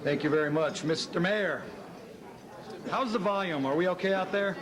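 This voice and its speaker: A middle-aged man emceeing a banquet with a hoarse, scratchy voice, talking in a chatty, self-deprecating, rambling way. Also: sits high, normal speed, a crowd in the background. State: hoarse from shouting. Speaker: master of ceremonies. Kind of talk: casual speech at a banquet. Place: hotel banquet hall.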